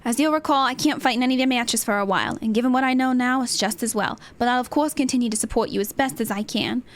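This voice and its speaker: A woman's voice: a little high, quick pace, slight background noise, close to an everyday voice. A woman voicing an earnest, worried young female fighter from a video game, sincere and pleading. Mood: earnest worry.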